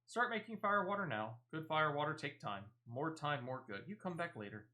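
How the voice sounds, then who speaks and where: Eager, simple-minded video game trader creature, played by a man, broken English, animated delivery. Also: on the high side, moderate pace. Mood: busy, proud. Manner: broken third-person speech, repeating words. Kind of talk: character voice reading video game dialogue.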